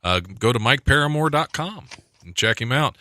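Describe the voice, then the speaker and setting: Bright, lively male radio host. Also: mid-range voice, moderate pace, no echo. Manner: chatty, laughs often, self-deprecating. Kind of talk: casual radio talk. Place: radio studio. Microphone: studio microphone.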